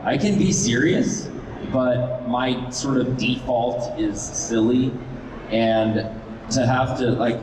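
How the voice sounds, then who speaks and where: A man's voice: medium pitch, slow. Laid-back rambling man in an echoey room. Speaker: guest wood carver and video maker. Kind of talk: casual live demonstration and question answering. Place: large indoor event hall. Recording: echoey noisy event room, distant microphone.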